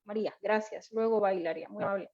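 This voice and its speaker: A woman's voice: medium pitch, quick pace, a little mellow. A woman moderates an online panel, courteous and a little hesitant as she passes the floor to others. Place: online video call. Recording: dull lo-fi online call audio.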